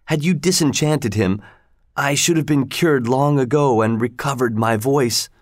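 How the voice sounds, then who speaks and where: A stern Sultan in disguise, as a man narrating a storybook plays him, speaks solemnly and with reproach. His voice has a medium pitch and a commanding gravity. The recording is very dry. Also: measured pace, dry recording, natural voice. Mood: reproachful. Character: stern Sultan posing as a sickly male servant.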